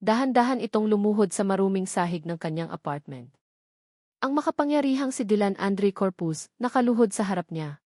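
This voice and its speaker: A woman's voice: mid-range voice, lively intonation, brisk, darkish tone, no echo, clean studio sound. A woman narrator reading a scripted romantic drama in a solemn, dramatic storytelling manner.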